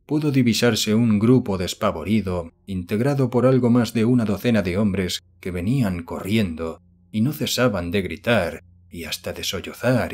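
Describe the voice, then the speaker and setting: A man's voice: lowish voice, a little mellow, a little hiss or hum. A man narrating a horror audiobook as scripted, solemn literary storytelling.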